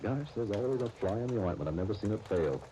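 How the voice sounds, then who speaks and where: An actor playing a blunt, grudging young shop partner in a 1930s radio soap opera, wry and grumbling, with hesitant starts. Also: male voice, lowish voice, expressive, fast talker, warm tone, close to an everyday voice. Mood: irritated, disdainful. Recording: muffled, hissy 1939 radio broadcast recording.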